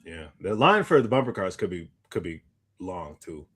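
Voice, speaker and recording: A man's voice: low-pitched, very animated, normal speed, a bit bright, slightly rough. Laid-back man chatting in casual slang. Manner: informal, slangy speech. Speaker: podcast co-host. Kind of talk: casual chat.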